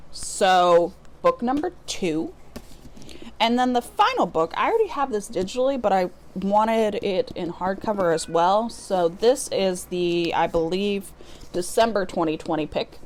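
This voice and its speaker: A woman's voice: medium pitch, animated, a little slow, slight background noise. A woman from the US Midwest chatting casually as a book vlogger, rambling and breaking off her own sentences as she goes. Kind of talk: casual unboxing vlog chat.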